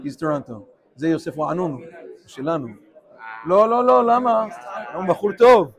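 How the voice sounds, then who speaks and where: Man, slightly high voice, animated informal teaching, mixes English and Hebrew. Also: racing. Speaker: rabbi teaching a class. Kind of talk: informal Torah class with questions.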